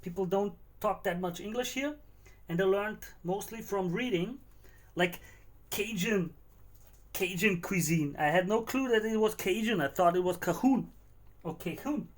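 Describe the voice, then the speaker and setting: A man's voice: high voice, a little slow. Rambling Austrian man cooking on stream. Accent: Austrian German. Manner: rambling, repeats words, talks to himself. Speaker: livestreaming home cook. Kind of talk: casual livestream chat while cooking.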